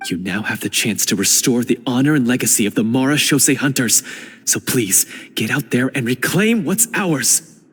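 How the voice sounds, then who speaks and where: A steadfast undercover guard in a game, calm and hushed with quiet urgency. His husky voice hangs in a long echo. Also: medium pitch, normal speed. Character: steadfast male dungeon guard, secretly a hunter. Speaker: undercover ally. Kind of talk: game cutscene voice acting.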